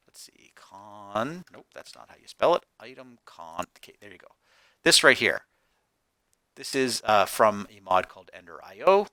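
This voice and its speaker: Chatty gaming host, male, slightly hoarse, animated and crisp, thinks out loud. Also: medium pitch, slight background noise. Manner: chatty, thinking aloud while crafting. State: hoarse, getting over a cold. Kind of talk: casual Let's Play narration.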